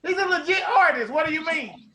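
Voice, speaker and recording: A man's voice: very high voice, expressive, brisk, bright, forceful tone. A man co-hosting a podcast, bantering casually in an insistent, slangy way. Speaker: podcast co-host.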